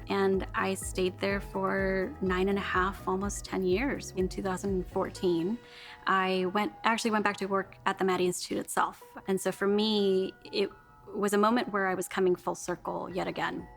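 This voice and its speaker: A woman's voice: mid-range voice, little pitch movement, normal speed, a bit bright, faint music behind. A woman in her thirties from California's Central Valley, speaking earnestly and reflectively in an interview about her public policy career. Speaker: award recipient, public policy staffer. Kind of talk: recorded interview testimonial.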